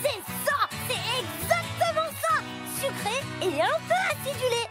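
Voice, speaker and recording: Excitable, very high childlike mini truck. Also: unhurried, full character voice. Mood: excited. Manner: exclamatory, eager, childlike. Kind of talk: children's cartoon dialogue. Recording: prominent music behind dialogue.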